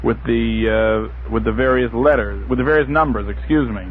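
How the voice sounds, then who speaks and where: A man's voice: medium pitch, fairly even intonation, moderate pace. A man chatting on a radio panel, searching for his words in a hesitant way. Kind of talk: casual radio panel chat. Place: radio studio. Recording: tinny, noisy old radio recording.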